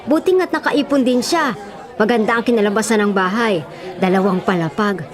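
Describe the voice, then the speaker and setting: A woman playing a concerned, gentle middle-aged mother in a Filipino radio drama, speaking with a Filipino accent in a motherly, plaintive way. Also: mid-range voice, normal speed, a crowd in the background, natural voice. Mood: pleased, chatty.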